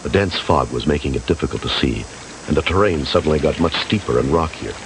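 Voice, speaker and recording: A man with a very low voice narrates a rescue story in a scripted documentary style. The audio is an old TV recording with clear background noise. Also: animated, fast talker. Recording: noisy old TV broadcast audio.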